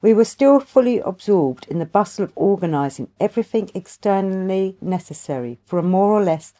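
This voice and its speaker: A woman voicing a wise, ancient grandfather in an audiobook, telling his tale in a formal, measured, long-winded way. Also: mid-range voice, expressive, warm tone, natural voice. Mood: reflective.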